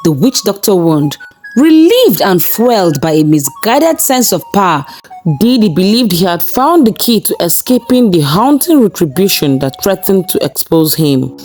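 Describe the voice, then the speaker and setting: A woman storyteller reading a scripted folktale with measured, suspenseful phrasing and formal, dramatic delivery. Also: lowish voice, darkish tone.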